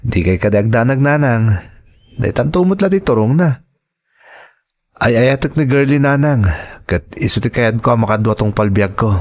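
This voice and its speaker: Casting: male actor as devoted young Filipino suitor for radio drama, earnest and reassuring, medium pitch, dry recording. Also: lively intonation, moderate pace, long pauses, no room sound, close to an everyday voice. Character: devoted young man in love, persuading his mother. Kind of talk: Ilocano audio drama acting.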